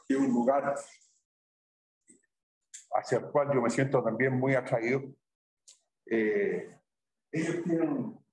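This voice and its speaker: A man with a Chilean accent, a university math professor chatting informally with his students and tagging his sentences with "¿ya?" and "¿ah?". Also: mid-range voice. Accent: Chilean Spanish. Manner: conversational, tags sentences with "¿ya?" and "¿ah?". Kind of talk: informal chat with students during class. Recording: very echoey room, distant mic.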